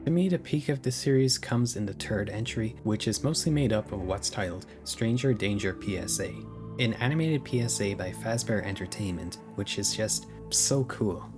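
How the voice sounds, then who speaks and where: A man's voice: on the low side, normal speed. A man narrating a video essay in a casual, opinionated way. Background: music.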